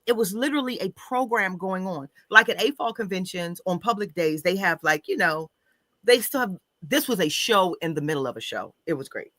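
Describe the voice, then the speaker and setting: A woman's voice: fairly low pitch, lively intonation, brisk, bright, forceful tone, no room sound. A woman co-hosting a casual livestream, upbeat and chatty as she tells stories about her week. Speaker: co-host.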